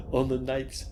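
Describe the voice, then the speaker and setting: A man giving a dramatic, theatrical recitation of a gothic poem, with halting delivery and long pauses. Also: mid-range voice, noisy background. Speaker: poetry reader.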